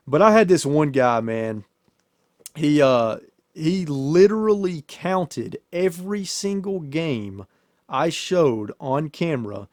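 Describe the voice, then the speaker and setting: A man's voice: medium pitch, no echo. Casual male podcaster, dry close sound. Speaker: podcast host and YouTuber.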